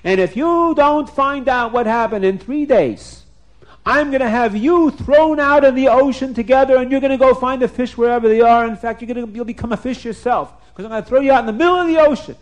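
Man acting out a petulant, high-pitched storybook king. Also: animated, normal speed. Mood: threatening. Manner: whiny, shouting, repetitive demands. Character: demanding, petulant, hot-tempered king obsessed with fish.

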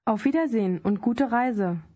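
A woman's voice: on the high side, animated, dark tone, breathy edge. A woman modeling phrases for a language course, saying each one carefully. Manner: careful, deliberate pronunciation. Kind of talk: scripted phrase repetition. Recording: dull lo-fi studio recording.

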